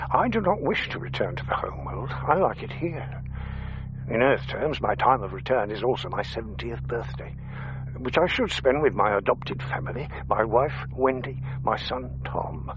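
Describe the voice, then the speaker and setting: An actor playing an older, earnest alien agent posing as a human dad, giving formal, measured reports in a radio comedy drama. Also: male voice, medium pitch, background noise, lightly acted. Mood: pleading, grave. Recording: dull band-limited recorded message over music.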